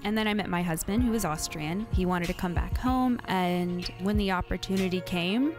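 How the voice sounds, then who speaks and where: A young woman from Southern California, a musician and chef, telling her own story in calm, reflective documentary narration. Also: fairly low pitch, moderate pace. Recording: voice-over with music behind.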